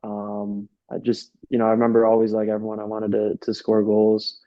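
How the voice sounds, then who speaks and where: A man's voice: lowish voice, monotone, normal speed, muffled recording. A young man from upstate New York, a soccer coach, answering interview questions in a casual, rambling way full of fillers and restarts. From Rochester, New York.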